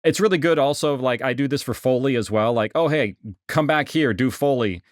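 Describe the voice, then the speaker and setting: A man giving a casual software tutorial as an instructor, explaining things conversationally on a close mic. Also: mid-range voice, fairly quick, no echo.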